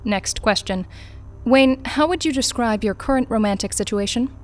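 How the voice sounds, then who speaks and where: An actress playing a cool, unflappable corporate interrogator in an audio drama, formal, clipped and detached. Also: female voice, on the high side, moderate pace, lightly acted. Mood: brisk, insistent. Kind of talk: naturalistic audio drama acting.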